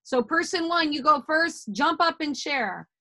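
A woman's voice: high voice, lively intonation, a little slow, sharp tone. Upbeat woman teaching over a video call. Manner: casual, upbeat, with many fillers. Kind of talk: online class instruction. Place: home room with art on walls. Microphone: laptop webcam video call.

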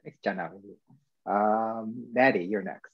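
A man moderating a video panel, handing over with brief, low-key prompts. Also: unhurried, no room sound. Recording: dull, dry call audio.

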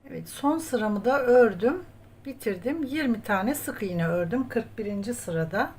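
A woman's voice: a little high, lively intonation, a little slow, a little mellow. A woman hosting a step-by-step craft tutorial, talking as she works and addressing viewers as friends. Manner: counts stitches aloud, addresses viewers as friends. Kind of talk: step-by-step crochet tutorial narration while working. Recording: clear background noise, room ambience.

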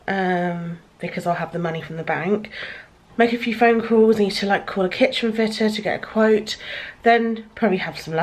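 Woman, thirties, vlogger, low-ish chatty voice, tired, handheld camera, light background hiss. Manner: chatty, breathless lists of errands. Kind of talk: casual vlog chat to camera. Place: bedroom at home, later a parked car. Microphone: handheld compact camera at arm's length.

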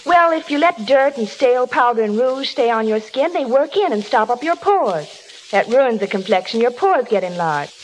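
Woman playing animated, knowing 1930s housewife. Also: a little high, moderate pace, lightly acted. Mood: eager. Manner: emphatic, explanatory. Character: chatty, knowing housewife praising beauty soap. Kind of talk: 1930s radio commercial acting. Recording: crackly 1930s radio recording.

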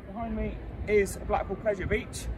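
High-voiced man vlogging while running outdoors. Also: fairly even intonation, moderate pace, heavy background noise. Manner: chatty, tour-guide commentary while running. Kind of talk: casual travel vlog narration. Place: outdoors on a seaside promenade. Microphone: action camera held or mounted while running. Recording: loud background music.

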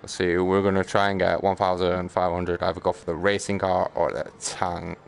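Low-voiced British man grumbling over gameplay. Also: little pitch movement, normal speed, vocal fry, a little hiss or hum. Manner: grumbling, sarcastic, mild swearing. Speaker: gaming streamer. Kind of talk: casual live gameplay commentary.

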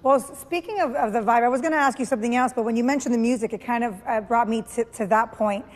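A woman's voice: a little high, fast talker. Chatty woman host, reverberant studio. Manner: chatty, hesitant with fillers. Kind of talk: TV studio interview.

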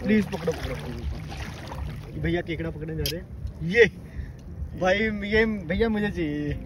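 A man vlogging while wading along a shore at night, chatting excitedly and a little nervous, filmed on a phone. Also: a little high, normal speed, background noise. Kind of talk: casual vlog commentary.

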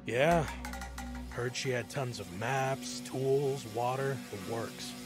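A man as a slow-talking, weary soldier. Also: mid-range voice, over music, close to an everyday voice. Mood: weary, casual. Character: weary, grumbling male army soldier. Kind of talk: video game cutscene acting.

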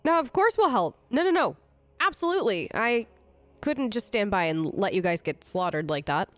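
Gamer girl improvising in-character replies, chatty, very animated pitch. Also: normal speed. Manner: conversational, improvised. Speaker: let's player. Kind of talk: casual role-play game commentary.

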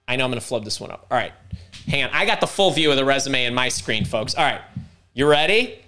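A middle-aged man hosting a live career workshop over a video call, talking fast and interrupting himself as he thinks aloud. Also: mid-range voice, lively intonation, pushed and bright. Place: home room indoors. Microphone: video call webcam.

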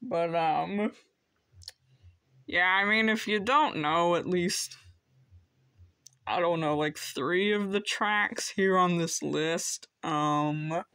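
A vlogger giving casual, rambling unboxing commentary in an offhand, halting way. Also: mid-range voice, bright, forceful tone, clear, resonant tone, dry recording, studio quality. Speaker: video host showing flea market finds.

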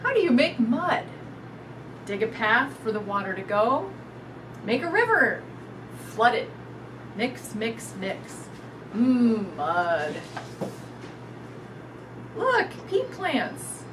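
Woman, children's storytime reader, sing-song and very expressive, unhurried, distant mic, noisy background. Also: fairly high pitch. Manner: animated, sing-song, addressing young children. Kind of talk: expressive children's picture book read-aloud. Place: indoors in front of a curtain backdrop.

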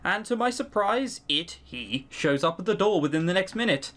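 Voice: medium pitch, expressive, moderate pace, a bit bright. A let's player reading a visual novel aloud, with theatrical delivery and teasing, exclaiming asides. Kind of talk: game narration with casual commentary.

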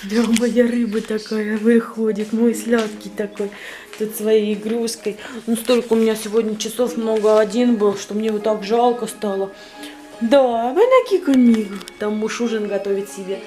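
A woman in her forties, a little hoarse, chatting casually to her phone camera at home on her balcony. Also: a little high, moderate pace, dark tone, soft background music. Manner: chatty, cooing to her pet. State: slightly hoarse. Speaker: vlogger. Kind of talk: casual vlog chat to camera. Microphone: handheld phone camera.

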